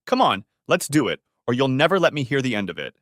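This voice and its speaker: A man's voice: mid-range voice, sing-song, fast, a bit bright, dry recording, studio quality. A man voicing a dismissive, condescending middle-aged wife in a whiny voice with curt, impatient retorts.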